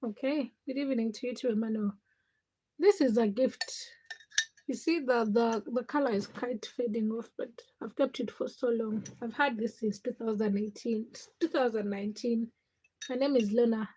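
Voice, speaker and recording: A woman's voice: on the high side, normal speed, mellow, a little hiss or hum, rough recording. A woman with a Ugandan accent chatting casually as a livestream host, speaking to viewers in short, often repeated phrases. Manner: short, fragmented phrases with repetition.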